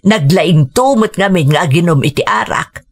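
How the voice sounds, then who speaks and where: A woman's voice: fairly low pitch, lively intonation, normal speed, slightly rough. A woman with an Ilocano accent playing a long-suffering, caring old grandmother in a radio drama, plaintive and weary. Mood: weary, troubled.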